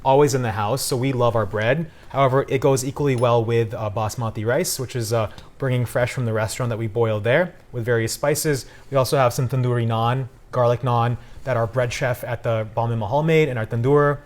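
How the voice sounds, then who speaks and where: A man's voice: mid-range voice, steady tone, fairly quick, few pauses. An upbeat, chatty man explaining food casually as a guest cooking demonstrator. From Punjabi Indian family.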